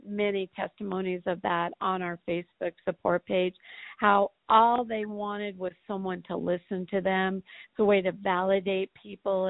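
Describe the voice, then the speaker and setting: A woman co-hosting a podcast, earnest and hoarse from a cold, clearing her throat now and then. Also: mid-range voice, no room sound. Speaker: podcast co-host. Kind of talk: casual podcast talk. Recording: dry, tinny phone-like sound.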